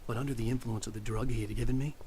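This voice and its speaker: A man's voice: mid-range voice, steady tone, rapid-fire, warm tone, slight background noise, close to an everyday voice. Man acting a brooding, sardonic captive writer. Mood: brooding narration. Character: defiant, sarcastic male writer held as a patient. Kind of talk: video game acting and first-person narration.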